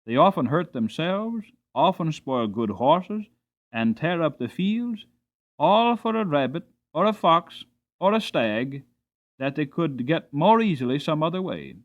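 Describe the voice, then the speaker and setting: Storybook old mare played by a man: gentle, reflective, disapproving, with a lively melodic range and slightly dull recording. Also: fairly high pitch, voice pushed up. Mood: gently reproving. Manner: measured, reflective, moralizing. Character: wise, gentle old mother horse, disapproving. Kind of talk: dramatic storybook reading.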